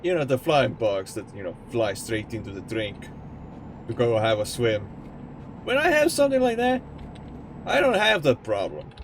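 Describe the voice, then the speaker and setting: A young man with an Estonian accent chats with his stream viewers in a rambling, jokey way, at a middling pitch. The steady noise of a driving truck sits clearly behind his voice. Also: moderate pace. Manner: rambling and jokey, answering chat. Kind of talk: casual chat with live chat while gaming.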